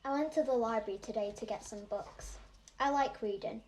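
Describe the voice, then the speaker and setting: A woman's voice: fairly high pitch, brisk, darkish tone, close to an everyday voice, poor audio. A woman playing a bookish, anxious eight-year-old Yorkshire girl in an audio drama monologue, speaking earnestly and precisely. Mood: earnest, curious. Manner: earnest, precise, matter-of-fact.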